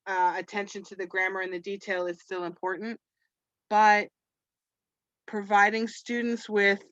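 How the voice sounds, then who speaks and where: A woman's voice: mid-range voice, fairly bright tone, dry recording, lo-fi sound. A woman from Canada whose first language is French, hosting a webinar for teachers and talking casually with lots of "you know" fillers. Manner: chatty, rambling, many "you know" fillers. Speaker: webinar host.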